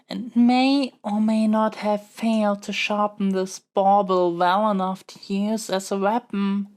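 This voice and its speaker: A woman's voice: mid-range voice, a little mellow, natural voice. A woman playing a pampered young royal heir in a scripted audio roleplay, earnest and formal, with a wordy, haughty yet flustered manner.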